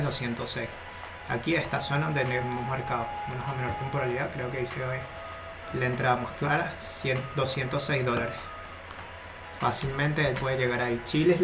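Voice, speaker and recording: A man analysing cryptocurrency charts in a steady, explanatory screen-share commentary. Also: mid-range voice. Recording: noticeable background noise.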